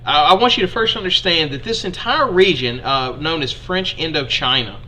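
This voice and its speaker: A man's voice: on the high side, animated, normal speed, bright, forceful tone, a little hiss or hum. A young man with an Alabama accent teaching a history lesson in a chatty, folksy way to his students.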